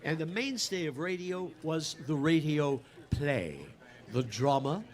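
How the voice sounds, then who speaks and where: A man's voice: a little high, animated, measured pace. A man hosting a live event, introducing the show and trading playful banter. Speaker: event host. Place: live before an audience.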